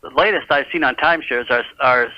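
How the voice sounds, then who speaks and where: A man's voice: mid-range voice, fairly quick, no room sound. A man talking casually as a caller to a radio show, asking questions hesitantly but persistently. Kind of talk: casual phone conversation. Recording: dull phone call on radio tape.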